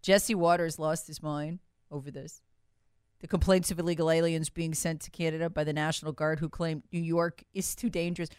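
A woman's voice: sits low, flat delivery, brisk, a little mellow, clear, resonant tone, dry recording, studio quality. A woman hosting opinionated political commentary, speaking sarcastically and emphatically, repeating phrases for effect and acting out quotes in a conversational way.

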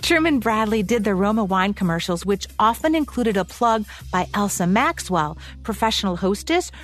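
A woman's voice: mid-range voice, animated, normal speed, a bit bright, soft background music. An American woman co-hosting a radio show, delivering scripted commentary on classic broadcasts.

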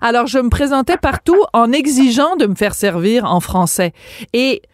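A woman in her fifties from Quebec with a Quebec French accent, telling a story in a lively, chatty, self-mocking way on a radio show. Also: on the high side, normal speed, dry recording, studio quality. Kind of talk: casual storytelling in radio talk.

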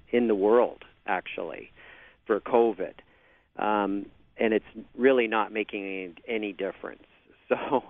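A man with a Canadian accent, a travel agent speaking as a guest by phone, halting with many fillers and restarts. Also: on the low side, no room sound. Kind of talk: phone interview on radio. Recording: dry tinny phone line.

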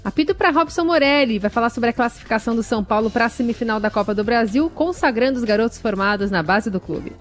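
A woman anchoring a lunchtime news radio program, introducing segments with upbeat, brisk handovers. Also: a little high, animated, soft background music.

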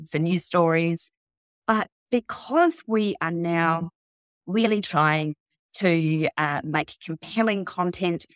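Casting: woman, low voice, interview guest, journalist explaining her craft with asides, close dry sound. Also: no room sound. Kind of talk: conversational interview answers.

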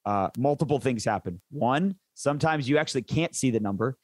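A man's voice: mid-range voice, expressive, fairly quick, no room sound. A man who works as a sports play-by-play announcer, chatting casually and enthusiastically in an interview, rambling into detail.

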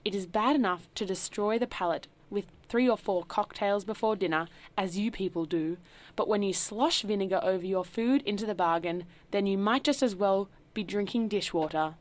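A woman voicing a dignified, grave butler who speaks formally and quietly. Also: medium pitch, lightly acted. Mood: cool. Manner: formal, measured, softly spoken.

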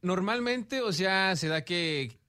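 A man's voice: sits high, lively intonation, takes it slowly, sharp tone. A man, a podcast guest who talks hesitantly with many restarts. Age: 20s. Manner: hesitant, laughs a lot. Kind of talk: casual chat.

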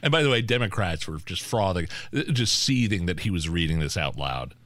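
A man's voice: low voice, lively intonation, moderate pace, fairly bright tone, no room sound. A man co-hosting talk radio, giving opinionated commentary in an emphatic way. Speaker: radio co-host.

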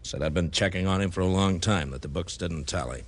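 A deep, gruff American racket boss in a vintage radio detective drama. He talks in a guarded, defensive way, like someone used to giving orders. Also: brisk. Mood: gruff explaining. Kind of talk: old-time American radio detective acting.

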